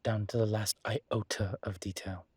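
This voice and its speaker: A man voicing a refined, wary, ancient demon professor in an intimate audio roleplay, with husky, measured, halting, wry and formal delivery. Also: lowish voice, little pitch movement, dry recording. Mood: firm warning.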